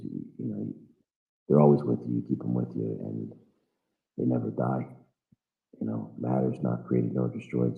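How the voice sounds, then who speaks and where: A man's voice: rumbling low, gravelly. A man from the United States who volunteers as a fighter in Ukraine, talking earnestly and bluntly in a live audio chat, with the odd curse word. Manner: earnest, philosophical, blunt with occasional swearing. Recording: echoey, dull lo-fi online audio room.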